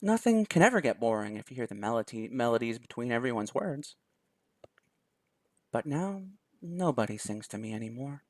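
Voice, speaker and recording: A man's voice: medium pitch, sing-song, normal speed, darkish tone, no room sound. Young man reading a game aloud. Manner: chatty, laughs easily, thinks aloud. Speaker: let's play host. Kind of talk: reading a visual novel aloud.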